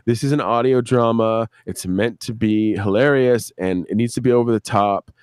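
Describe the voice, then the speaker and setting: A man's voice: mid-range voice, normal speed, a little mellow, clear, resonant tone, dry recording, studio quality. A man talking casually and profanely on a live stream as an audio drama creator, telling rambling anecdotes and acting out what people said. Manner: profane, rambling, acts out quotes. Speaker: audio drama creator and director, interview guest.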